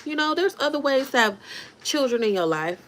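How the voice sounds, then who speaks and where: A woman's voice: high voice, big pitch swings, brisk, a bit bright. A woman in her thirties chatting casually on a livestream, reacting to viewer comments as she talks. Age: 30s. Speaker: livestream host.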